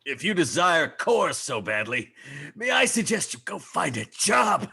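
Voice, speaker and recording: A man's voice: on the high side, sing-song, measured pace, gravelly, bright, forceful tone, husky, full character voice. A man playing a haughty, melodramatic space hero in a comic sci-fi script read, with pompous, formal diction. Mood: disdainful.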